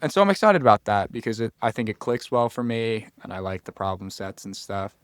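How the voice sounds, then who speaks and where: A man's voice: lowish voice, brisk, smooth, no echo, clean studio sound. A young man from Florida, a college freshman guest on a podcast, talking earnestly and thinking aloud. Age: young adult. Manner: earnest, thinks aloud with restarts and quoted asides. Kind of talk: casual interview chat.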